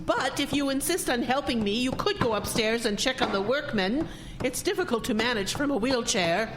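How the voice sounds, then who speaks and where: A woman's voice: fairly high pitch, expressive, moderate pace, noisy background, natural voice. A woman playing a haughty, pious, wealthy spinster in an audio drama, speaking in a formal, imperious, prickly way.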